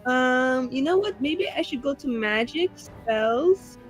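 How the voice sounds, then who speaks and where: A woman's voice: high-pitched, lively intonation, slow, faint music behind, poor audio. A woman playing a tabletop game, playful, and hesitant while she checks the rules. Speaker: player. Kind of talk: casual tabletop play.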